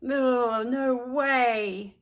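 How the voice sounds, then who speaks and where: A woman voicing a squeamish, dismissive schoolboy in a storybook with drawn-out, breathy disgust. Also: fairly high pitch, steady tone.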